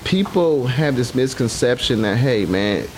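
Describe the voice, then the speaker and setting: A man in his forties, a guest who invests in real estate, chatting in a relaxed way and often saying "you know what I'm saying". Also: medium pitch, unhurried, a little hiss or hum, poor audio. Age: 40s. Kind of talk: casual podcast chat.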